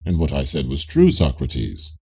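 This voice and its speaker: A very dark, gravelly voice from a man acting out a pious, self-assured Greek man in an audiobook dialogue. He declaims pompously, eager to prove himself, with pitch that swings widely through each phrase. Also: huge pitch swings, normal speed, heavily acted. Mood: assertive. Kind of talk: dramatised audiobook reading of philosophical dialogue.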